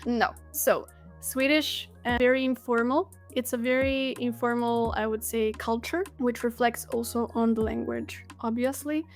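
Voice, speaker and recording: A young adult woman, a language teacher, explaining things in a lively way and laughing easily in a casual interview. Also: a little high, moderate pace, soft background music. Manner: lively explainer, laughs while telling anecdotes. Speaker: Swedish teacher, guest.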